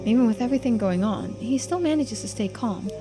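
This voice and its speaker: A high-voiced, earnest young woman in a story game, played by a woman reader. She speaks politely and softly, with an apologetic hesitancy. Music plays underneath. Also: lively intonation, fairly quick, lightly acted. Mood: hesitant, thoughtful. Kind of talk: voicing game dialogue in a playthrough.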